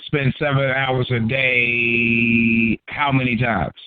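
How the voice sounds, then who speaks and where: Blunt, combative call-in host on a phone line. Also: male voice, mid-range voice, level tone, takes it slowly, no room sound. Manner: blunt, profane and confrontational. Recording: tinny phone-line audio.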